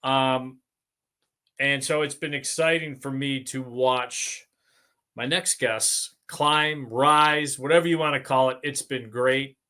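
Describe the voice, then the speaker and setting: A man's voice: mid-range voice, fairly even intonation, slow pace, sharp tone, clear, resonant tone. An American man hosting a casual sports podcast, speaking as a sportswriter with a wry, self-deprecating manner.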